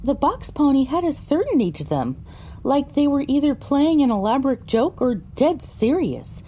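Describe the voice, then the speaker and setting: A woman's voice: high voice, big pitch swings, normal speed, mellow, slight background noise. A woman narrating a first-person story for an audio drama, reading descriptively with dramatic phrasing.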